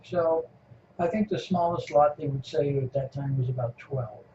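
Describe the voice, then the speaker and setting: A man's voice: a little high, dark tone. Older man rambling in an echoey room. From California. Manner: rambling, halting, chuckles often. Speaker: interviewee. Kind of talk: casual reminiscing. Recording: echoey room, muffled lo-fi, noisy.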